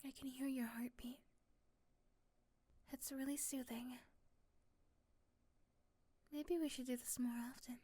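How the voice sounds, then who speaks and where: Lady playing tired sweet girlfriend type, higher voice, real slow, soft n breathy, teasing, asmr romance thing. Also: little pitch movement, heavily acted. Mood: tender, drowsy. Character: tired, sweet, teasing young woman in love. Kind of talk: ASMR romantic roleplay acting.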